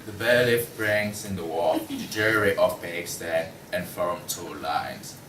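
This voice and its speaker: A young man narrates stage directions at a student play reading. His voice is lowish and unhurried, recorded in an echoey room with faint noise. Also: fairly bright tone, far from the mic. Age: young adult. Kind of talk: scripted narration at a student table read.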